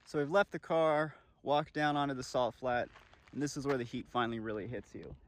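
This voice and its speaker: A man's voice: medium pitch, a little fast, dry recording. A man explaining things to camera as a video journalist, in an on-location presenting style. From desert region. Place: outdoors in open desert, sometimes near vehicles. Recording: outdoor field recording with clear ambient noise.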